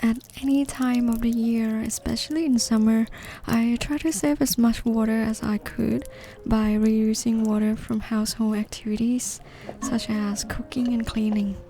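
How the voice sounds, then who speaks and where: A woman from northeastern Thailand, gently narrating a gardening video in a measured, explanatory way. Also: on the high side, steady tone. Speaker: gardening vlogger. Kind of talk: calm scripted voice-over narration.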